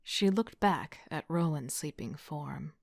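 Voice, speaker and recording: Woman acting a slow, introspective young leader. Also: deep voice, animated, gravelly, no echo, studio quality, heavily acted. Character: reflective, dry-witted young female team leader. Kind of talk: first-person audio drama narration.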